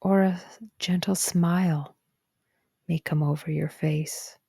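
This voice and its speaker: A woman narrating a guided meditation in a gentle, calm and soothing way, with soft breathy phrase endings. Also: deep voice, slow pace, no echo, clean studio sound. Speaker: psychologist guiding a meditation.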